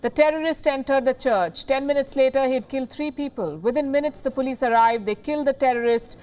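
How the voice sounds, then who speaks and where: A woman's voice: high voice, quick pace, darkish tone. A woman with an Indian accent reading scripted news as an anchor, emphatic and formal.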